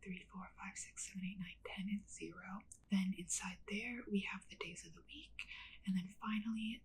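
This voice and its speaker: A woman whispering gently as a witch doing a reading in an ASMR roleplay. She talks in a soft, reassuring whisper with frequent "um"s. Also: mid-range voice, a little hiss or hum, low-quality recording.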